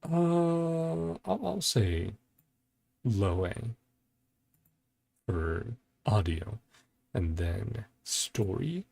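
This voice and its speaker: A man's voice: on the low side, animated, extremely slow, vocal fry, pauses often, a little mellow, no echo. A man giving casual reaction commentary as a video host, thinking aloud in a laid-back way with music behind him.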